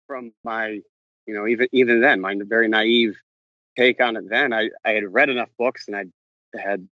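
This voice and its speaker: A man's voice: mid-range voice, pushed and bright, dry recording, dull audio. A man answering interview questions as a novelist, often stopping and restarting his sentences as he paces around outdoors. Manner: halting, frequent restarts and self-corrections. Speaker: novelist guest. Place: walking around outdoors on a campus.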